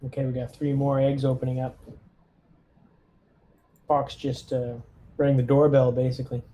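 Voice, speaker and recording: A man's voice: mid-range voice, flat delivery, moderate pace, dark tone, slight background noise, low-quality recording. A man playing a tabletop role-playing game, chatting casually and jokily describing what his characters do. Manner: jokey, narrates his characters' moves in third person.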